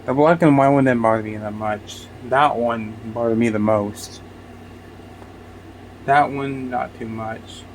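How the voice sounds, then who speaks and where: A man's voice: medium pitch, fairly even intonation, a little slow, a little hiss or hum, rough recording. A man giving casual, blunt, foul-mouthed off-the-cuff reaction commentary. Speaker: reaction video host.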